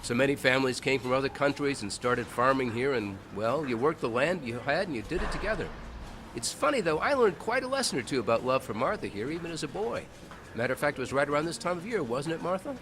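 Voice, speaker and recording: An actor playing a genial old husband who reminisces in a folksy, animated way in a family audio drama. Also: male voice, medium pitch, fast, noisy background. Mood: fond reminiscing. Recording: music under the voice.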